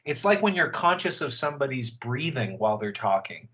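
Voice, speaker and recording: A male host who talks in a thoughtful, measured way, sometimes pausing on fillers before a question. His voice sits in a middling range. He sounds conversational rather than scripted. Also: medium pitch, sing-song, a bit bright. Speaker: podcast host. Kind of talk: conversational interview.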